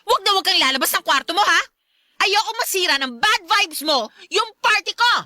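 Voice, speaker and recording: A woman's voice: very high voice, animated, fairly quick, no echo, studio quality, exaggerated voice. A woman playing a haughty, bossy stepmother in a radio drama, snappish and taunting, with a Filipino accent. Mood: bossy, annoyed. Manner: sharp, taunting, commanding.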